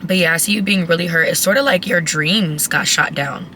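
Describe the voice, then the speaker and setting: A woman who has lost her voice, raspy and cracking, giving a chatty, blunt tarot reading to her viewers. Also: lowish voice, brisk, pushed and bright, a little hiss or hum. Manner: chatty, blunt, swears casually. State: hoarse, voice cracking. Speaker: tarot reader. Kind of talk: casual tarot reading to camera.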